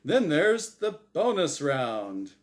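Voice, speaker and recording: A man's voice: a little high, sing-song, slow. A man voicing a smug, showy angel who hosts a game show, with theatrical, emphatic patter in a storybook reading. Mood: showy, teasing. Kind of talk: storybook character voice in dramatic reading.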